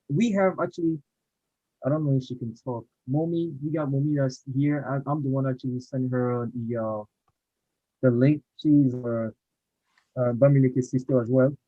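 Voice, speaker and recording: A man hosting a casual online chat, talking in an animated, insistent way. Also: medium pitch, no room sound. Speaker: host. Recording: dull lo-fi sound.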